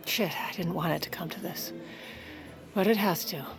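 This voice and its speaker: An actress playing a suspicious, commanding First Lady, brisk and sardonic, in an audio comedy drama. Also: lowish voice, creaky, music in the background. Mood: brisk, determined.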